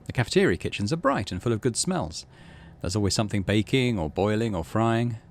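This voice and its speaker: A man's voice: lowish voice, animated, brisk. A man doing casual game commentary, thinking aloud and reading game text out as the host of a gaming channel.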